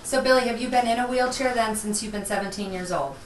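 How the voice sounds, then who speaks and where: A woman's voice: on the high side, fast talker. A woman host leads an interview in a friendly, encouraging tone, keeping the conversation moving. She sounds distant from the mic in an echoey room with faint hiss behind her. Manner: friendly and encouraging, laughs often. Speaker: talk show host. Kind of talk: interviewing guests.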